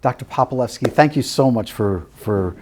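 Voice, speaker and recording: Male TV host, mellow tone, lively, expressive delivery, friendly questioner. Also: mid-range voice, moderate pace, slight background noise. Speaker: talk show host. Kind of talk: conversational TV interview.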